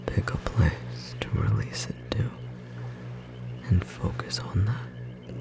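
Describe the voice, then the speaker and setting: A performer voicing a tender magical bookstore clerk in an ASMR roleplay, whispering softly and gently encouraging a beloved partner, with a slightly rough edge. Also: slow, loud music behind the voice, natural voice. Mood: gentle, guiding. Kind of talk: ASMR roleplay, intimate whispered second-person acting.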